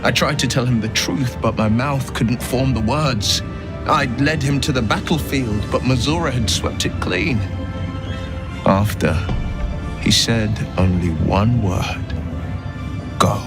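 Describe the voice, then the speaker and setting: Man acting as slow, solemn haunted young nobleman warlock. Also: mid-range voice, close to an everyday voice. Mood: bitter, subdued. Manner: solemn, measured, earnest. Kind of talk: dramatic game dialogue, storytelling. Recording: dramatic music loud behind the voice. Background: loud cinematic music.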